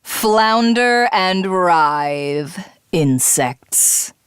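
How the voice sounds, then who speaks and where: Haughty, fast-talking villainess. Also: female voice, fairly low pitch, lively intonation, smooth, no room sound, studio quality. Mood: condescending, cruel. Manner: grandiose, theatrical, condescending. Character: haughty female alien commander craving godhood. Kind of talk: dramatic video game villain acting.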